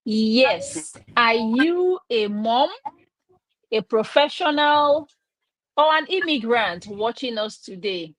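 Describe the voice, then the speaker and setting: A woman's voice: a little high, lively intonation, slow pace, fairly bright tone, no echo, poor audio. A woman from Nigeria hosting a live online chat, upbeat and inviting.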